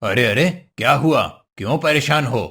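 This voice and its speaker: A man's voice: medium pitch, moderate pace, a bit bright, breathy edge. A man voicing a sly devil in a cartoon story, coaxing and falsely friendly. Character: sly hoofed male devil posing as generous employer. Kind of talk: Hindi cartoon story voice acting.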